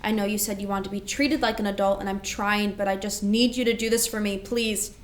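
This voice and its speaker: A woman's voice: medium pitch, fairly even intonation, fairly quick, echoey room, a little hiss or hum, lightly acted. A woman playing an anxious, protective older sister, worried and pleading, in a stage play reading.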